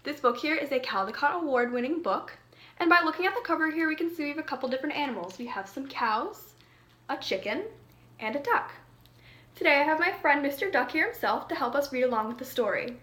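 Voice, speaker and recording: A woman's voice: sits high, animated, a little fast, sharp tone. A woman reading a children's storybook aloud expressively, as if talking directly to young listeners. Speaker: storybook reader. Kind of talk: children's read-aloud narration. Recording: echoey room.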